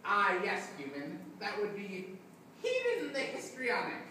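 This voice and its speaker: A puppeteer voicing a gloating, chatty fiend from hell in a live puppet show, with hesitant, drawn-out delivery. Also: medium pitch, huge pitch swings, bright, forceful tone, exaggerated voice. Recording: echoey room, noisy, distant mic.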